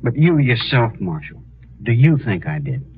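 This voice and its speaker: An actor playing an earnest, humble American prisoner in a 1950s radio western, speaking haltingly. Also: male voice, medium pitch, very animated, phone-quality sound, natural voice. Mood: pleading, indignant. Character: earnest young condemned prisoner protesting his innocence. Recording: thin, noisy old radio recording.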